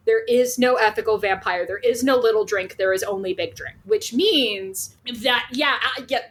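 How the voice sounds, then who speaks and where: A woman co-hosting a podcast in animated casual discussion, talking emphatically and swearing freely. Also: fairly high pitch. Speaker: podcast co-host.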